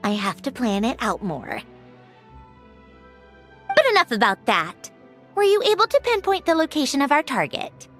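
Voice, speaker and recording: A woman's voice: sits high, big pitch swings, normal speed, pauses often, fairly bright tone, faint music behind. A woman voicing a clever, composed, slightly awkward young woman in a video game, polite and earnest. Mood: earnest, hesitant. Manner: polite, earnest, hesitant at times.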